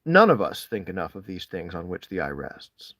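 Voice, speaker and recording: A man reading classic literary prose aloud, deliberately, with dramatic rises and falls in his phrasing. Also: low-pitched, sing-song, a bit bright, dry recording. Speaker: audiobook-style reader of classic essays. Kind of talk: literary read-aloud, expressive scripted narration.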